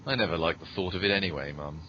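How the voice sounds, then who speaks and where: A man playing a formal, deferential, deadpan butler with a shady streak in a scripted comedy podcast. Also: racing. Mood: dry, deferential. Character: deadpan, dutiful, quietly shady male butler. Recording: muffled, noisy low-quality podcast audio.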